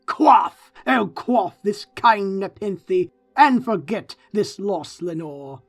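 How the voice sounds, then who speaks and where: A man's voice: high voice, expressive, slow, faint music behind, pitched above the performer's own voice, full character voice. A man playing an anguished, haunted, grieving man in a gothic poetry reading, crying out in impassioned, theatrical pleas. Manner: impassioned, pleading, theatrical cries.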